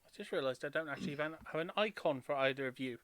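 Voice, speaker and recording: Man, game master, slightly high voice, bright, dry sound, casual, hesitant. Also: racing, clear, resonant tone, no echo. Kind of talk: casual game-running talk.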